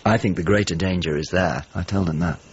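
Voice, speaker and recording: Low-voiced, hesitant male friend. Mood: grave, concerned. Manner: hesitant, halting, thoughtful. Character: painter's worried, loyal male friend, a weary traveller. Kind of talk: naturalistic period radio drama acting. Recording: dull lo-fi audio with clear noise.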